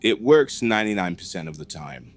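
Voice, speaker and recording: A man plays a methodical technician in a found-footage horror drama. His voice sits low, and he explains things precisely in a dry, matter-of-fact way with a wry edge. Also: big pitch swings, fairly quick, soft background music. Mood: dry, explanatory. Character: dry, methodical male computer technician at a dinosaur park. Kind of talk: scripted found-footage horror film dialogue.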